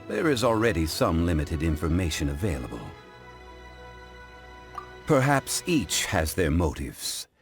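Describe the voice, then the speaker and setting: A deep-voiced, dignified gentleman scholar speaks with formal, measured courtesy. His lecturing tone is composed yet richly inflected, in the style of an anime game, with music underneath. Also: exaggerated voice. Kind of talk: English anime game dub acting.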